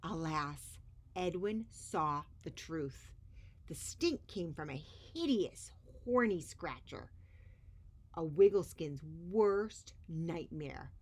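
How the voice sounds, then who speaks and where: A woman reading a picture book aloud to children expressively, with dramatic pauses and emphasis on key words. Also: low voice, expressive. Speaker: children's story reader.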